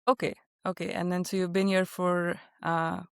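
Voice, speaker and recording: Female podcast host, low and level delivery, dry close sound, easygoing and filler-heavy. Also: level tone, moderate pace, gravelly, clear, resonant tone, dry recording. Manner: warm interviewer, many fillers, relates to the guest. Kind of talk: casual interview chat.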